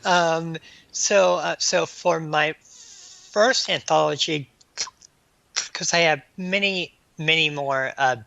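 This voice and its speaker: A man answering as an interview guest in a halting, stammering way, often repeating sounds and words. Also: on the high side, creaky, bright, forceful tone, dry recording, lo-fi sound. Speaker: guest author and anthology editor.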